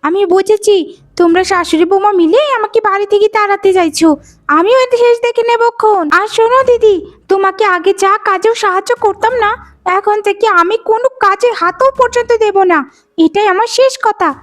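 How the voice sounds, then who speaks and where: Shrill, spiteful young daughter-in-law. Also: female voice, a little fast. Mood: indignant. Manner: whining, sharp-tongued complaining. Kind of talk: Bengali cartoon voice acting.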